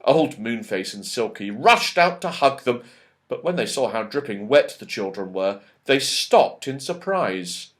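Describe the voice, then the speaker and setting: Male audiobook narrator for children's fiction, unhurried, very animated delivery. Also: medium pitch. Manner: lively, expressive reading with character voices. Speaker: audiobook reader.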